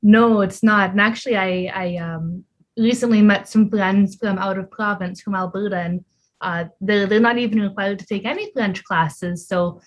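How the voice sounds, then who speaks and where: A woman's voice: medium pitch, steady tone, normal speed. A young woman, a PhD student being interviewed over a video call, answering in a friendly, thoughtful way with many fillers. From Ontario, Canada. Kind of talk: casual interview.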